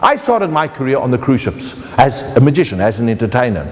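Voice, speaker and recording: A man's voice: medium pitch, sing-song, a little fast, big-room sound. A middle-aged man with a South African accent, teaching an online motivational course in a playful way that speaks straight to the viewer.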